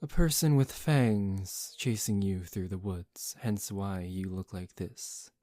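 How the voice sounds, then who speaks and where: A man voicing a suave, condescending young male vampire in a soft-spoken ASMR roleplay, calm and teasing. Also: sits low, takes it slowly, no room sound. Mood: patient, probing. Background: relaxing background music.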